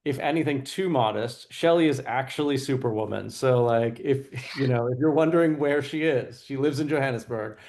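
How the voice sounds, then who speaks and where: A man's voice: medium pitch, moderate pace, few pauses, a little mellow, slight background noise. A man who teaches school chats in a friendly, easygoing way. His phrasing is loose and casual, with a mid-range voice over a video call. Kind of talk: casual introduction.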